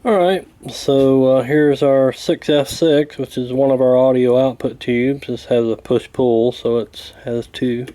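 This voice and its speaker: Slow-talking man with a mellow voice. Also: mid-range voice, steady tone. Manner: hesitant, unhurried, folksy plain-spoken. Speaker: radio repair hobbyist demonstrating. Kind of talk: casual hands-on repair walkthrough.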